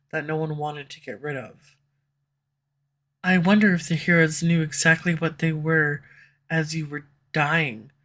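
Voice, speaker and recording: A woman voicing a sharp-tongued, tsundere female villain who swears and speaks sarcastically, recorded close on a studio condenser mic. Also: sits low. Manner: sarcastic, swears, turns confessional. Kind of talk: audio roleplay. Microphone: studio USB condenser mic.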